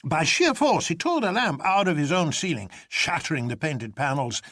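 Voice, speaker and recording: A man voicing an excitable old colonel who tells his tale with emphatic relish in an audiobook. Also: a little high, normal speed, no room sound, studio quality, pitched above the performer's own voice, full character voice. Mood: amused, boastful.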